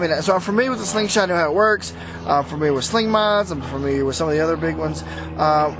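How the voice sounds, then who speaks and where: A man giving a casual, unscripted product review to viewers in a halting, rambling, conversational way. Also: on the high side, a little hiss or hum. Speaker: product reviewer, host of a motorsport video channel.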